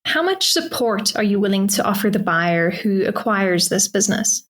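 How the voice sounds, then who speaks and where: Woman host asking quick business questions, brisk and to the point. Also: medium pitch, sing-song. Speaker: podcast host. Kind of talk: interview questions.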